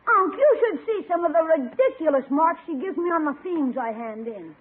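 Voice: very high-pitched, lively intonation, normal speed, narrow, tinny audio. A performer playing a scheming, whiny young schoolboy who pleads and exclaims in 1940s radio comedy style, on an old broadcast. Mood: indignant whining.

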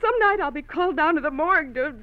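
Anxious, devoted young-sounding wife, classic radio drama, highish pleading voice on a thin, phone-like recording. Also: female voice, animated, fast talker. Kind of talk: old-time radio drama acting.

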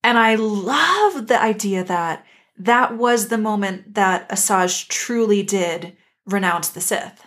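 A woman's voice: medium pitch, lively intonation, slow pace, a bit bright. A woman co-hosting a podcast, casually discussing a series in a reflective, inquisitive way. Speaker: podcast co-host.

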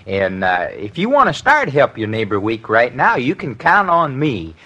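Husky, folksy neighbor man. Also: medium pitch, wildly swooping pitch, normal speed, pushed and bright, heavily acted. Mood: slyly agreeable. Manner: hesitant, rambling, homespun. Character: folksy, sly, neighborly man next door. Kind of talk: classic radio comedy acting.

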